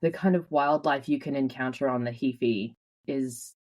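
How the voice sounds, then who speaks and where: A Canadian woman telling stories with lively energy as an interview guest, laughing often. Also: rumbling low, normal speed, highs cut off. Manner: animated storyteller, laughs often. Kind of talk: casual interview.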